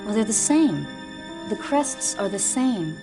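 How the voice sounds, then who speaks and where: An actress playing a thoughtful, earnest history expert in a video game, explaining in measured, scholarly phrases. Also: female voice, mid-range voice, lively intonation. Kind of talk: video game cutscene dialogue. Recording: loud music behind the voice.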